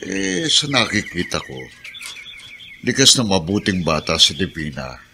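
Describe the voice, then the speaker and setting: A man playing a good-natured older plantation worker in a Filipino radio drama, folksy and unhurried. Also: low voice, huge pitch swings, creaky, background noise, full character voice. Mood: approving.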